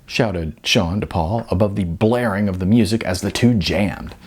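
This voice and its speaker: A man's voice: sits low, moderate pace, husky. A man who is an author reading his own novel, narrating expressively with dramatic emphasis. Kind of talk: animated audiobook-style narration.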